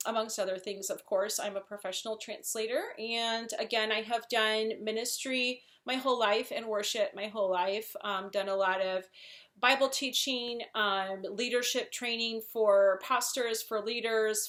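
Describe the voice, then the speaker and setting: A woman's voice: mid-range voice, fairly even intonation, measured pace, fairly bright tone. Effusive woman with a Wisconsin accent. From Wisconsin, USA, and central Mexico. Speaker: vlogger introducing herself. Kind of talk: casual chat to camera.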